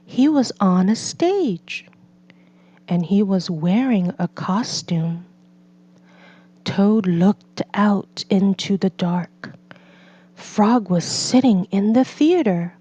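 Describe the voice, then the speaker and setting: Very slow, mellow woman reading a storybook. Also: mid-range voice, animated, husky, dry recording, highs cut off. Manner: measured, deliberate delivery for learners. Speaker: storybook reader. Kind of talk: slow scripted children's read-aloud narration.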